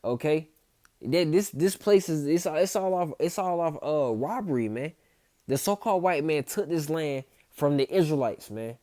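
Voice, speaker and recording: Man, loose repetitive preaching, warm low timbre, dead-dry recording. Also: animated, normal speed, dry recording. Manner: casual, repeats himself, tags lines with "man" and "okay". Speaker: street preacher. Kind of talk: informal scripture teaching.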